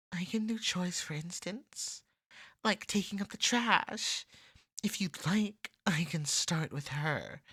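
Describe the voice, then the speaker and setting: A woman playing a clingy, jealous cat-person yandere in a scripted ASMR roleplay, husky, wry and wheedling. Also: lowish voice, a bit bright, no echo, studio quality, heavily acted.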